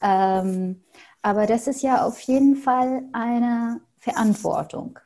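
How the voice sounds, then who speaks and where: A young woman from Syria whose first language is Arabic speaks in a soft, mellow tone. She answers slowly and thoughtfully, pausing often with fillers as she searches for the words. Her voice comes through a headset mic on a video call. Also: fairly high pitch. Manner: thoughtful, hesitant with frequent fillers. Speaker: podcast guest. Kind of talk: casual interview answers. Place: small home room with paintings on wall.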